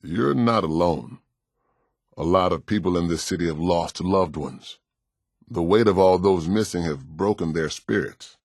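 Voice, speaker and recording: An actor playing a kindly, world-weary pastor in a video game, with an American accent and a gentle, folksy, plain-spoken way of talking. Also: male voice, deep voice, a little slow, no room sound, clean studio sound. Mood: somber.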